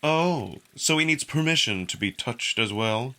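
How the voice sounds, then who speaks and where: A man's voice: medium pitch, lively intonation, a little slow, sharp tone. A man voicing a suave, smugly curious male jackal alien in a visual novel, with polite, needling, unruffled delivery. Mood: mock apologetic. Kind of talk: visual novel character acting.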